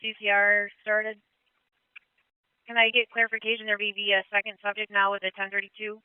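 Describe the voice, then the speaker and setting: A male police dispatcher speaking over radio traffic in a calm, clipped way, repeating back information. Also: high voice, brisk, clear, resonant tone, no echo, phone-quality sound. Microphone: dispatch console radio.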